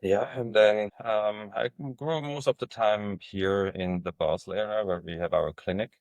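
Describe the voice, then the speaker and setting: A man's voice: lowish voice, steady tone, unhurried, a little mellow, no echo. A man from Switzerland, a veterinary dermatologist, telling his story plainly in a casual interview.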